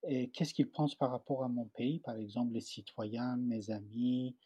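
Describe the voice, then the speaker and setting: A man from Iran with a Persian accent, giving a personal testimony in an interview and often pausing to search for French words. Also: medium pitch, fairly even intonation, mellow, husky, no echo.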